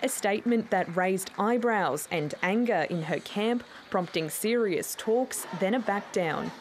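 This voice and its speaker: A woman's voice: medium pitch, expressive, a little slow, a little hiss or hum. An Australian woman narrating a scripted television news report as a reporter.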